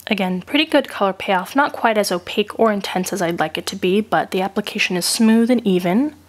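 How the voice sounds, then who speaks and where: A woman narrates a makeup review while still getting over a cold. She works through each shade in a steady, methodical way. Her tone is descriptive and even. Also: mid-range voice, brisk. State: recovering from a cold. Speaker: beauty reviewer.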